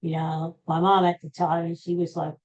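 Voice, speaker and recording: Older American man, very mellow, muffled recording. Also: a little high, monotone. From Pennsylvania, USA. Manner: rambling, halting, self-deprecating. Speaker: podcast host. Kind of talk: casual personal storytelling. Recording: dull, muffled lo-fi audio.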